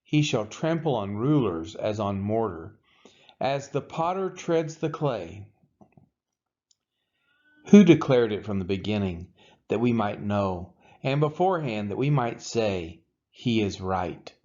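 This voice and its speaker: Slow, expressive man reading scripture. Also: fairly low pitch, dull audio. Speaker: Bible reader.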